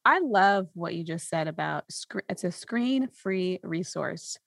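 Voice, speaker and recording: A woman podcast host with a lowish voice and plenty of vocal fry. She speaks conversationally and reflectively, affirming her guest, in a close, dry sound. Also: animated, unhurried, fairly bright tone, dry recording. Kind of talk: conversational interview response.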